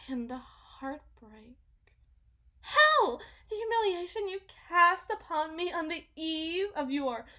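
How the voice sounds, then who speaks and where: A woman playing a delusional, possessive mother in a dramatic ASMR roleplay, halting and repeating herself theatrically, shifting between hushed and intense. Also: very high voice, full character voice. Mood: impassioned forgiveness. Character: delusional, possessive mother smothering her supposed lost child.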